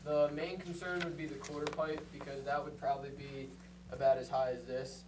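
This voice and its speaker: A man's voice: medium pitch, little pitch movement, fairly quick. A young man giving an informal public presentation, speaking casually and a little hesitantly in a meeting room. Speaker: resident presenting a proposal. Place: council meeting room, far camera. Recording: distant room mic, loud background noise.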